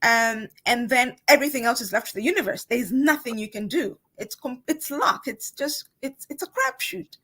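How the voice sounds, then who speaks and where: A woman's voice: fairly high pitch, animated, moderate pace, hardly any pauses, sharp tone, breathy edge. A woman speaking casually as a guest author in an interview, emphatic and encouraging, repeating phrases to drive points home. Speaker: guest author on a book talk show.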